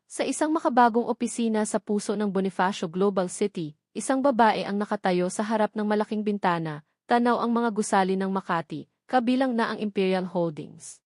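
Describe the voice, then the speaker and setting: A woman's voice: medium pitch, darkish tone, no room sound, studio quality. A woman with a Filipino accent reading scripted story narration as the narrator of an audio drama.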